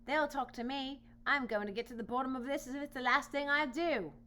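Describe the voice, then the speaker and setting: A woman's voice: high-pitched, fairly quick, bright, forceful tone, pitched above the performer's own voice. A woman voicing an indignant, gossiping male boar in a children's storybook, huffy and emphatic as it grumbles. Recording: noisy home recording with hum.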